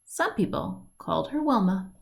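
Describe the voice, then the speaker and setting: A woman narrates a children's picture book in a medium-pitched voice that rises and falls in a lively way. She phrases deliberately, drawing out words and giving lists a lilt. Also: gravelly. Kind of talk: read-aloud storybook narration. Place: indoors at home, at a wooden table by a window.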